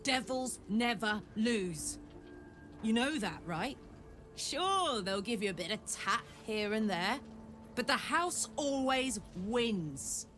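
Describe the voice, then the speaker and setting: Blunt, high-voiced hot-headed runaway. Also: female voice, expressive, takes it slowly, fairly bright tone, soft background music. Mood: exasperated, bitter. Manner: blunt, punchy, colloquial. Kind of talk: video game character acting.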